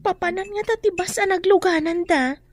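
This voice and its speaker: Radio drama eldest daughter: very high voice, young, earnest, worried, responsible. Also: female voice, moderate pace, dry recording. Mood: anxious. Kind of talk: Ilocano radio drama acting.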